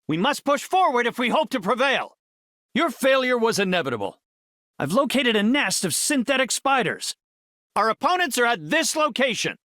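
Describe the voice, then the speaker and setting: An actor playing a courteous, confident middle-aged superhero scientist in a video game, speaking in an articulate, formal and erudite way. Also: male voice, extremely high pitch, big pitch swings, normal speed, bright, forceful tone, no room sound, studio quality, heavily acted. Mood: urgent, commanding. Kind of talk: video game character voice lines.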